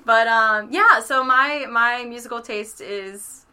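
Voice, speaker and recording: Female radio presenter as interviewee: bright tone, casual and chatty, emphatic, giggly delivery. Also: a little high, slow, smooth.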